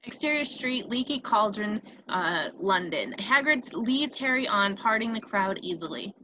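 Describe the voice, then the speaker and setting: Lady reading out script directions, bright voice, kinda lo-fi recording with some noise. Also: mid-range voice, lively intonation, a little slow. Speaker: stage-direction narrator. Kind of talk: scripted screenplay narration. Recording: dull, noisy home recording.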